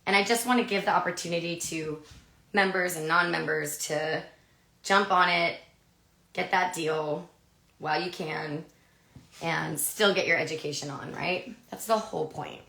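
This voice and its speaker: A woman in her thirties with a low, gravelly, raspy voice, a little hoarse after being sick. She walks through a haircut live online in a loose, chatty way. The studio is roomy and echoey. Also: moderate pace, bright, forceful tone. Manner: chatty, filler-heavy, asks "right?" often. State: recovering from a cough, losing her voice. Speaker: hairdressing educator. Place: studio with a grey backdrop, indoors.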